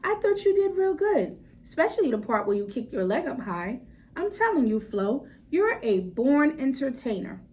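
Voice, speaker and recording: A woman's voice: high voice, very animated, normal speed, a little mellow. A woman voicing an encouraging, firm father in a children's story, emphatic and reassuring as he coaches his daughter.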